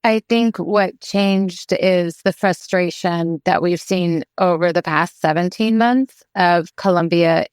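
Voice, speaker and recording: Low, smooth-voiced woman reporter. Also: no room sound, clean studio sound. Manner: thoughtful, with pauses and fillers. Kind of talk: news podcast interview.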